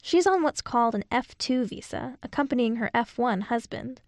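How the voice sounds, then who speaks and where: A woman reading a scripted radio news feature. Her voice is a little high and has a gravelly fry at the ends of phrases. The sound is dry and close, and the delivery is measured and clear. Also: animated, no echo. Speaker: radio reporter.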